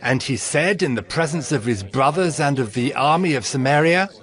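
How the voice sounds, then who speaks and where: A man's voice: medium pitch, lively intonation, normal speed, a bit bright. A man narrating scripture from a script in an earnest, declamatory dramatic style. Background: background music.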